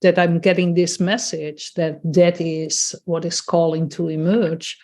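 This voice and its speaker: Slow, musing woman. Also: lowish voice, flat delivery, a little mellow. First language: Dutch. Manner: thoughtful, musing. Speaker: workshop participant. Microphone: video call.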